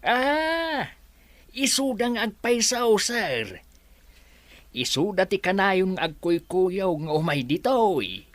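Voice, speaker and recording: A gossipy resort worker in a radio play, slow, swingy pitch, dry sound. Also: female voice, big pitch swings, no echo. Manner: deferential, gossipy. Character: female resort staffer, chatty, gossipy.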